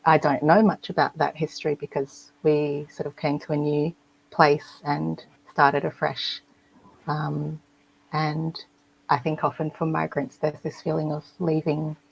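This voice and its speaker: Woman panelist, low voice, flat steady delivery, thoughtful, frequent ums. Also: a little slow, vocal fry, breathy edge, poor audio. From Malaysia. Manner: thoughtful, hesitant, many fillers. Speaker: panelist, writer. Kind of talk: panel discussion.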